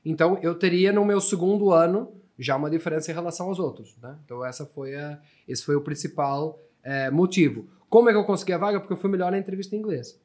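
A man from Portugal speaks with a European Portuguese accent, his voice sitting a little high for a man. He talks quickly and chattily, often restarting phrases and tagging questions onto the ends of sentences as he tells stories as a podcast guest. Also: darkish tone. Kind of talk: casual storytelling conversation.

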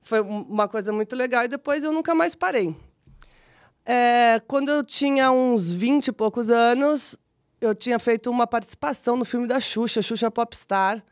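A woman in her forties from São Paulo with a Brazilian São Paulo accent, telling her life story as a podcast guest in a chatty, animated, self-deprecating way. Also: a little high, normal speed. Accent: São Paulo Brazilian Portuguese. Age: 40s. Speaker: guest, model and actress. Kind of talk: casual interview storytelling.

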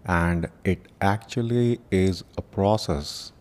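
A man leading a guided meditation in a solemn, deliberate manner, speaking in short phrases with long pauses between them. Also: low-pitched, a little mellow. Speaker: meditation teacher and podcast host. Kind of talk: guided meditation with prayerful invocation.

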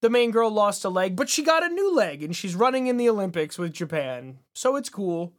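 A man's voice: high voice, big pitch swings, a little fast. A man co-hosting a podcast, chatting and reviewing in a casual, colloquial, wry manner. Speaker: podcast co-host.

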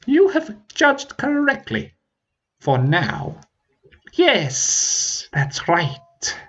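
A cunning male dragon with a shrill, hissing voice that jumps far above its reader's own, put on by a man reading a story. He drags out his words slowly and mockingly, the pitch climbing and falling widely. The recording is slightly muffled and a little husky. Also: huge pitch swings, fairly bright tone, full character voice. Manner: slow, drawn-out, mocking.

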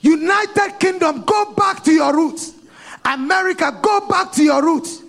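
A man leading a fervent prayer as a pastor, calling out short shouted lines for others to repeat. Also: extremely high pitch, measured pace, gravelly. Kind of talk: call-and-response prayer.

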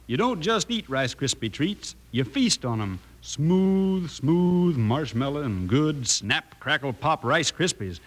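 Lively folksy cowboy pitchman. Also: takes it slowly, few pauses, heavily acted. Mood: enthusiastic. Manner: folksy, savoring salesmanship. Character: folksy male cowboy pitchman, enthusiastic and friendly. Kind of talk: 1950s radio commercial.

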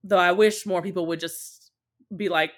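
A woman with a somewhat low-pitched voice chats casually as a guest on a podcast. She is talkative and candid, explaining things in loose, conversational runs and slipping into short quoted asides. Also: steady tone, normal speed. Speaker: podcast guest, podfic creator.

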